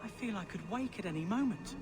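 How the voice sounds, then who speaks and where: An actor playing a troubled but earnest young mage who questions everything. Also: male voice, high voice, expressive, fairly quick, music in the background. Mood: earnest, resolute.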